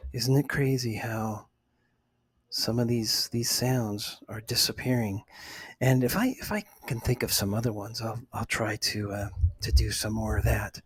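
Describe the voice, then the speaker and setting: A middle-aged man chatting casually for ASMR in a hushed, breathy, whispery voice with an unhurried manner. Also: mid-range voice, no echo. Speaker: ASMR video host. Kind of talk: ASMR, casual unscripted chat.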